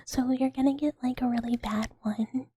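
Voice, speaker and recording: Give me a young woman whispering on an ASMR stream, breathy and slightly high, giggly and unsure. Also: fairly even intonation, moderate pace, mellow, no echo. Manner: giggly, self-mocking, hesitant with many ums. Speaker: livestream ASMR host.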